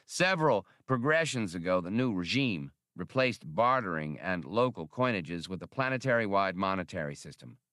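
A man's voice: low voice, very animated, fairly bright tone, dry recording, clean studio sound. Man voicing a solemn, courteous alien scholar. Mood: solemn, explaining. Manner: formal, measured, earnest. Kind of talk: audiobook character reading.

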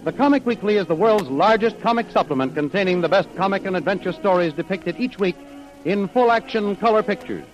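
A man's voice: high voice, lively intonation, brisk. An American man announcing in a scripted, declamatory 1930s radio style, promotional in manner. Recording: dull vintage radio recording with music.

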